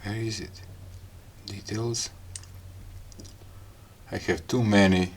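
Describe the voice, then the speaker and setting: Slow, low-voiced man with non-native English accent. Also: fairly even intonation, a little hiss or hum. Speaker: gadget review host. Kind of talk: casual hands-on unboxing and product demo talk.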